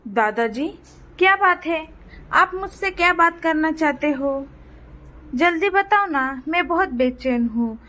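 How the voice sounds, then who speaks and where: A woman's voice: sits high, animated, slow, pushed and bright, voice pushed up, exaggerated voice. A woman voicing a spoiled, selfish, impatient grandson in a cartoon moral story, brusque and demanding.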